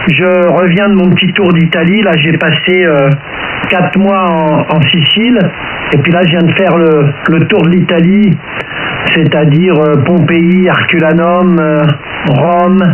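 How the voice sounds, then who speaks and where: A man with an accent from France, chatting casually as an amateur radio operator over a radio link. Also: high voice. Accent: French from France. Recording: narrow, hissy shortwave radio link.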